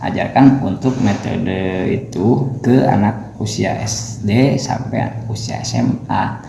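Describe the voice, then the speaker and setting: A man, a religious teacher explaining things casually with pauses and repeated phrases. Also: fairly low pitch, mellow. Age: mid-30s. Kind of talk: casual interview answers. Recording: echoey room, clear background noise.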